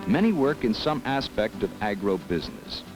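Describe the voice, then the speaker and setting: A man's voice: on the low side, sing-song, normal speed, low-quality recording. Man narrating a vintage educational film. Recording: vintage 16mm film soundtrack. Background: music.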